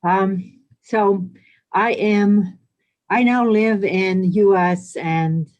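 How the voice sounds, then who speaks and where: A woman's voice: mid-range voice, fairly even intonation, drawn-out words, a little mellow, muffled recording. Woman, hesitant. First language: Serbian. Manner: thoughtful, hesitant, many ums. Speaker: retreat participant. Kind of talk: casual discussion.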